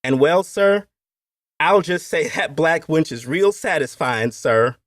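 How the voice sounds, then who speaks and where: Man acting a high-pitched, crude Appalachian overseer. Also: lively intonation, slow, no room sound, studio quality. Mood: coarse, leering. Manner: folksy, coarse, ungrammatical speech. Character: crude young male Appalachian plantation overseer, leering, eagerly deferential.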